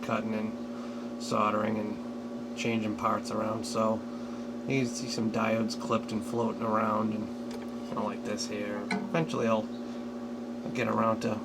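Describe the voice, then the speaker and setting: A man's voice: on the low side, gravelly. A man giving a casual, unscripted hobbyist show-and-tell in a hesitant, rambling, matter-of-fact way. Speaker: radio hobbyist showing a restoration project. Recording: loud steady background hum and noise.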